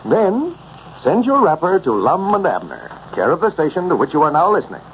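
A man's voice: on the high side, wildly swooping pitch, fairly quick. A man working as a radio announcer, delivering scripted copy and story introductions in 1930s style. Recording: muffled, noisy 1930s radio recording.

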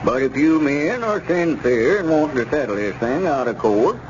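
A man's voice: on the high side, animated, moderate pace, lightly acted. An actor playing a pompous, scheming older squire who whines about his suffering, in 1930s radio comedy style. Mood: smug. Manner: self-important, whining about his suffering. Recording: dull scratchy 1930s radio broadcast.

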